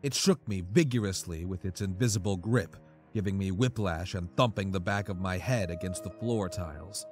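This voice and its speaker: A man's voice: sits low, moderate pace, faint music behind. A man narrating a scripted comic horror story in a dramatic, profane storytelling manner.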